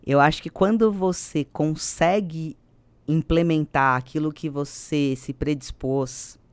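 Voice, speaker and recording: A woman's voice: deep voice, measured pace, smooth, no echo. Brazilian businesswoman talking, dry close sound. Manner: emphatic, builds points with repetition and quoted speech. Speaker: podcast guest, business executive and mentor. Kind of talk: conversational explanation of business ideas.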